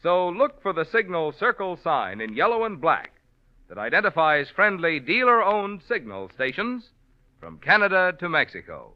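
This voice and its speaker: A man's voice: high-pitched, sing-song, moderate pace. An American man announcing in polished, promotional 1940s radio sponsor style. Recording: muffled vintage radio recording, music behind.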